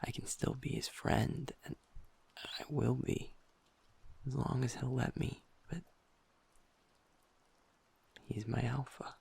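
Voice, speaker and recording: A gentle young werewolf boyfriend, voiced by a man, speaks slowly and close to the mic in an audio roleplay. His low voice is heavily gravelly and breathy, often dropping to a half-whisper. He pauses and restarts as he confides. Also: fairly even intonation, full character voice. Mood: vulnerable, confiding. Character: gentle young male werewolf boyfriend, usually upbeat, now downcast.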